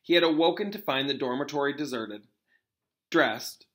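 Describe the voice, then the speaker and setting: A man's voice: medium pitch, moderate pace, a bit bright. A man reading a novel aloud as a teacher, expressively, with informal explanatory asides.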